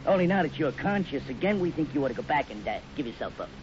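Scheming outlaw leader, high male voice, smooth-talking, sardonic, muffled old radio western. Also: very animated, fast talker. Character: sly, scheming male bank robber, gang leader. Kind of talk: 1950s radio western acting. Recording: muffled 1950s radio recording, faint noise.

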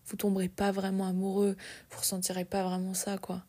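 A woman's voice: medium pitch, completely flat delivery, brisk, mellow. A woman in her early twenties talking casually and confidingly to her listeners, with many fillers and restarts. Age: 20s. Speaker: podcast host. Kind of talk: casual personal monologue.

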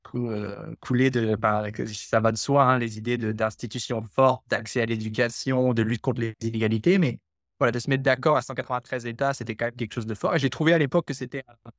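A man's voice: medium pitch, fairly even intonation, brisk, dry recording, clean studio sound. A man from Quebec, a podcast guest who speaks with many hesitations and restarts. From Canada (Quebec). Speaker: guest, development researcher. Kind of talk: podcast interview.

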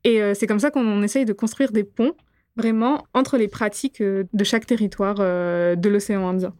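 Interview guest: young woman from Réunion, Gujarati as her first language, clear voice, measured and halting. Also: mid-range voice, steady tone, a little mellow, no room sound. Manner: thoughtful, frequent hesitations. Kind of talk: interview answers.

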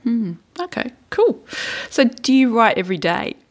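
A woman's voice: a little high, sing-song, normal speed. A woman hosting a podcast, asking guests questions in a casual, playful way. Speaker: podcast host. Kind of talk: casual interview.

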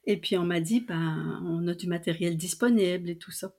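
A woman's voice: fairly low pitch, normal speed, dark tone. An older woman with a Canadian French accent from New Brunswick, telling her story as a guest, with frequent fillers and restarts. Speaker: guest, publisher and translator. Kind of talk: casual storytelling interview.

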